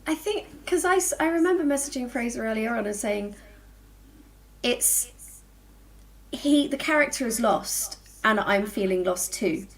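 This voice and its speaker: A woman's voice: a little high, animated, unhurried, poor audio. A woman, an author, chatting informally on a livestream, acting out little quoted asides as she talks. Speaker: author, fellow panelist. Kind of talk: casual livestream book chat.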